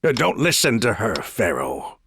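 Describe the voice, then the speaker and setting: An actor playing an excitable, pleading male accomplice in a video game, talking with hurried insistence. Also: lowish voice, slight background noise, full character voice. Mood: eager, urgent. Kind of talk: dramatic video game acting.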